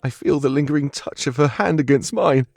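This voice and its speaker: A man's voice: a little high, brisk, creaky, darkish tone, no echo. An actor playing an earnest, haunted young man, a loyal companion in a visual novel game, confiding with heartfelt emotion. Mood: haunted, grieving. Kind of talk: scripted visual novel character dialogue. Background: background music.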